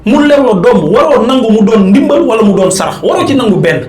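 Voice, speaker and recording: Senegalese guy arguing politics, really high voice for a man, insistent, kinda roomy echo. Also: animated, fast, a little mellow. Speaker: political commentator on a talk show. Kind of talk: argumentative political debate, mixing Wolof and French.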